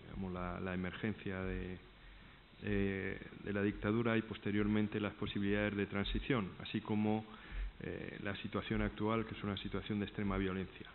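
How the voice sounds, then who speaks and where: A man's voice: lowish voice, little pitch movement, moderate pace. A man moderating a conference panel, hesitant and searching for words as he opens the floor to questions. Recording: tinny phone-like sound with faint noise.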